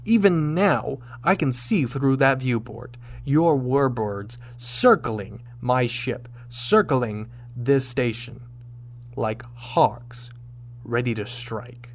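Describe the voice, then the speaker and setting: An actor playing a firm, wryly sardonic starship captain in a sci-fi audio drama, speaking crisply. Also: male voice, mid-range voice, lively intonation, takes it slowly. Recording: clear background noise with hum.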